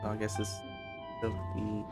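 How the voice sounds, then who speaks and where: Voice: mid-range voice, completely flat delivery, slow, over loud music. A game streamer commenting casually and reading dialogue aloud in an offhand, hesitant way.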